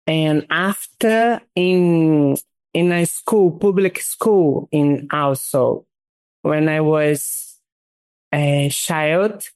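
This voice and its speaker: Artist guest, woman, halting English, low and clear. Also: a little mellow, no echo. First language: Portuguese. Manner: halting, searches for English words.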